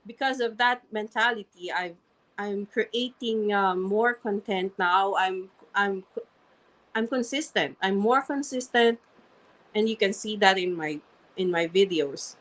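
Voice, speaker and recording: Woman, strong non-native English accent, very slow pace, earnest, repeats and restarts phrases, interview guest. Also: medium pitch, a bit bright, poor audio. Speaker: interview guest, registered nurse and online marketer. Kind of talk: candid personal story in conversation.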